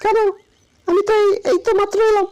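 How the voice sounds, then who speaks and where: A woman voicing a sharp-tongued, bossy village wife in a comic cartoon, snappy and nagging. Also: extremely high pitch, little pitch movement, brisk, background noise. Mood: brisk. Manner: snappy, nagging, repeats commands. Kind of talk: comic Bengali cartoon dialogue. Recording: music clearly behind the voice.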